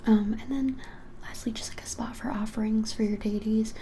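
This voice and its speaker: A woman in her twenties whispering a casual ASMR shopkeeper roleplay in a breathy, halting, helpful way. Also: a little high, fairly even intonation, slight background noise. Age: 20s. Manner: soft, hesitant, halting, helpful explaining. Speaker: ASMR creator playing a witch shop keeper. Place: small plain indoor room.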